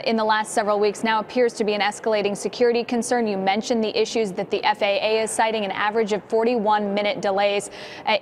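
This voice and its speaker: A woman's voice: medium pitch, slight background noise. An American woman reporting live as a television news correspondent.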